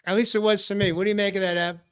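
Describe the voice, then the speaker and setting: A high-pitched man from the New York area hosts a men's talk show. He tells his story in a preacherly way and hammers key phrases through repetition. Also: little pitch movement, rapid-fire, smooth. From New York area, USA. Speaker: host. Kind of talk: heartfelt personal sharing on a men's talk show.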